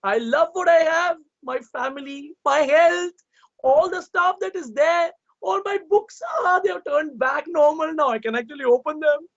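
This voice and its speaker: A man's voice: extremely high pitch, normal speed, vocal fry, fairly bright tone, voice pushed up, heavily acted. A man voicing a greedy middle-aged king who stammers and pleads in panic or gushes with joy. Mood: overjoyed. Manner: stammering, pleading, then overjoyed. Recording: dull lo-fi recording.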